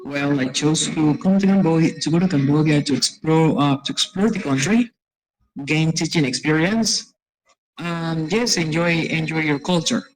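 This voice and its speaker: Hesitant man from Colombia, higher voice. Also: normal speed, rough recording. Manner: hesitant, searching for words. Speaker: former teacher.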